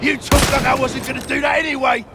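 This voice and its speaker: A man playing a fierce, bloodthirsty soldier in a video game, rough, excitable and combative. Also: very high voice, fast talker, very noisy. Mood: aggressive. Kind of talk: video game battle barks. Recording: loud music and gunfire behind.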